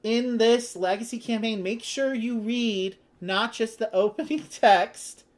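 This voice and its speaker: A board game host talks through the rules in a medium-pitched voice. The delivery is casual and chatty, full of informal, enthusiastic asides. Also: measured pace.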